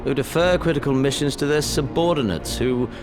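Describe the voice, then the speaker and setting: An actor playing a cold, arrogant imperial prince in a game cutscene, theatrical and deliberate, relishing the hunt. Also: male voice, medium pitch, lightly acted. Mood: scornful, impassioned. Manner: theatrical, deliberate, swinging from quiet menace to outburst. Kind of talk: dramatic video game cutscene acting. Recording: music behind the voice.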